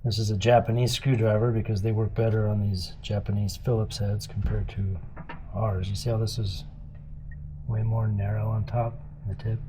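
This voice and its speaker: A man with a raspy voice, patiently showing his son how to take apart and clean a carburetor, one step at a time. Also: fairly low pitch, little pitch movement, normal speed, very noisy. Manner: patient, plainspoken, explains step by step. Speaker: dad teaching his son carb cleaning. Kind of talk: casual hands-on demonstration.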